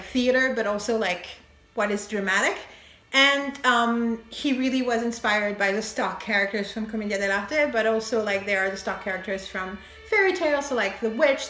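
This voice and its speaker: Brief: woman, slightly high voice, chatty writing lesson, roomy echo. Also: fairly bright tone. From France. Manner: thinks aloud with many fillers and chuckles. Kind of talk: casual explanatory monologue. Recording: echoey room.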